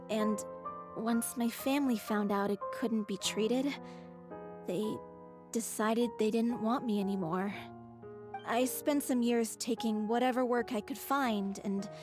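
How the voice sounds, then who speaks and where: A woman voicing a gentle young actress from a theater troupe in a video game, speaking softly and earnestly. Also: fairly high pitch, lightly acted. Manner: soft, earnest and reflective. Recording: faint game music behind.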